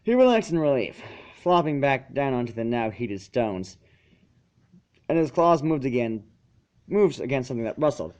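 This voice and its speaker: A man's voice: mid-range voice, sing-song, normal speed, long pauses, darkish tone, lo-fi sound. A man narrating fan fiction as an amateur reader and channel host, now and then tripping over words and correcting himself. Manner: stumbles over lines and self-corrects. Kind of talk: amateur fan fiction reading with casual asides.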